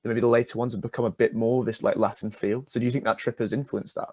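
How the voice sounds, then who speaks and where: A man's voice: mid-range voice, steady tone, quick pace, darkish tone, breathy edge, dry recording. A young man hosting a casual radio interview, asking wordy, tentative questions in an encouraging way.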